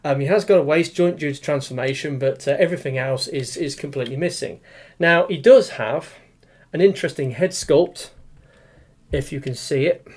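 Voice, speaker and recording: A man's voice: on the high side, normal speed, darkish tone, breathy edge. A man, casually reviewing a toy in a small room, rambling with lots of fillers and restarts. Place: small room with display cabinet, indoors.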